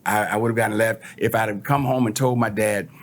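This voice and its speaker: A middle-aged man with an American accent talking casually as a show guest, halting and repeating words for emphasis. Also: on the low side, fairly even intonation, a little hiss or hum. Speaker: talk show guest and co-presenter. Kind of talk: casual conversation.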